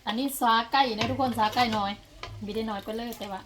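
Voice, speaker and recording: A woman vlogger speaks in a fairly high voice with an Isan accent. Her talk is casual and addressed to viewers and the people around her. The recording has clear background noise. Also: fast. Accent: Isan (northeastern Thai). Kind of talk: casual vlog chat, talking to viewers and companions.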